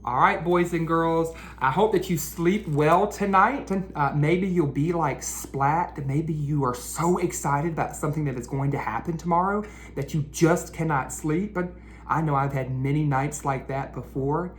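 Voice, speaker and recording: A man hosting a read-aloud for children, speaking directly to them with questions and drawn-out emphasis. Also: fairly high pitch, a little hiss or hum. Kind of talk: children's picture-book read-aloud and chat.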